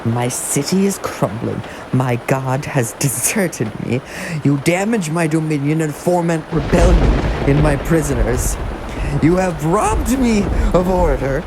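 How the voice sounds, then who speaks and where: A woman's voice: sing-song, slow, vocal fry, full character voice. A woman voicing a stern, defiant ruler in a dramatic webcomic dub, full of bitterness. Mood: bitter defiance. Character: bitter, defiant female ruler of a crumbling city. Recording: loud background noise and rumble.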